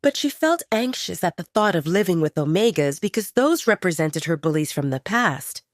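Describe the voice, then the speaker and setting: Female storyteller for serialized fiction, slightly low pitch, lively intonation, dry close sound. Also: normal speed, no echo. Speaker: audio drama narrator. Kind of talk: scripted story narration.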